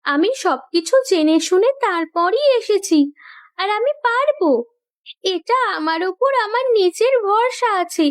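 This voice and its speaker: This is a young, rich-born bride from a cartoon tale, acted by a woman. Her voice is extremely high and girlish. She speaks earnestly and insistently, loving yet stubborn. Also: expressive, unhurried. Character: determined, loving young landlord's daughter turned farmer's wife. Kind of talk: cartoon story voice acting.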